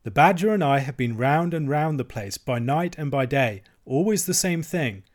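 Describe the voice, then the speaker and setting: A man voicing an excitable, blunt storybook mole with an exclamatory, plain-spoken manner. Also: on the high side, normal speed, close to an everyday voice. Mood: grumpy.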